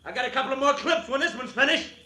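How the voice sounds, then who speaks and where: Shrill, gleefully sadistic punk killer. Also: male voice, fast, pushed and bright, full character voice. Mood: gleeful, taunting. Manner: giggling, taunting. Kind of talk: 1940s radio acting. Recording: 1940s radio broadcast recording.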